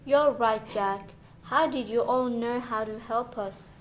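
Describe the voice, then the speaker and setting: A woman's voice: a little high, takes it slowly. A woman playing a curious, grateful fairy-tale sister in a children's radio play.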